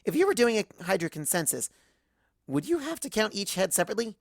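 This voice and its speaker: Woman acting bubbly cartoon party pony, earnest, childlike rambling, lively swooping pitch, close dry sound. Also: quick pace, no room sound. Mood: chirpy, rambling. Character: bubbly, scatterbrained, excitable young female cartoon party pony. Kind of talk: first-person fan fiction narration in character.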